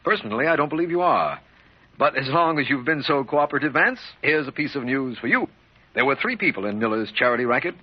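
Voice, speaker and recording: An actor playing a businesslike, plain-spoken official who questions briskly, in 1940s radio drama style. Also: male voice, mid-range voice, lively intonation, husky. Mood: conceding. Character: businesslike, questioning male official, detective's friendly colleague. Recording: dull vintage radio recording.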